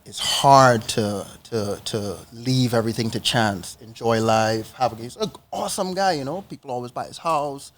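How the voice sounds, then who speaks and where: A man with a medium-pitched voice speaks as a guest on a podcast. He tells personal stories in short, conversational phrases, often checking that he is understood. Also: normal speed, hardly any pauses, fairly bright tone. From Trinidad and Tobago. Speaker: podcast guest, financial educator. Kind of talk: casual personal storytelling in an interview.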